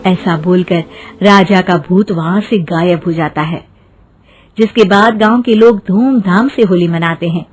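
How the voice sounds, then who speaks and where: A woman's voice: on the low side, a little slow, soft background music. A woman reading scripted narration for a moral story as its narrator.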